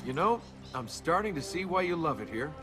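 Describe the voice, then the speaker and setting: A young man voicing a cocky, wisecracking rogue adventurer with a sarcastic, wry delivery, over loud game music. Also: fairly high pitch, sing-song, brisk.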